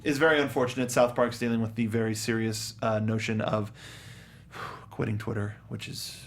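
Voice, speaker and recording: A man's voice: fairly low pitch, flat delivery, normal speed, slight background noise. A man co-hosting a casual panel talk about film, who often restarts and repeats phrases as he thinks aloud. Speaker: talk show co-host.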